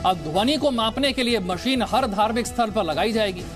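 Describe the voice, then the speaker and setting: High-pitched male news anchor over music. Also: moderate pace. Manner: explanatory, addressing viewers directly. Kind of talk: Hindi TV news presentation. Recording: music bed under the voice.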